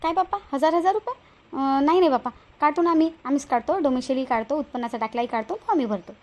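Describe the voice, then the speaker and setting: A woman's voice: sits high, fast, darkish tone, close to an everyday voice. A woman voicing a cautious, bossy village woman in a Marathi cartoon, with a rural Vidarbha accent and a homely, questioning way of talking. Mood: wary. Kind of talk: Marathi cartoon moral-story dialogue.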